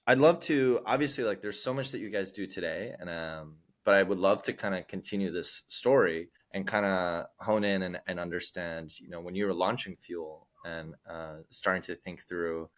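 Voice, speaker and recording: A man's voice: sits low, moderate pace, few pauses. A man hosting a casual podcast interview, talking in a loose, rambling way with casual swearing. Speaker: podcast host.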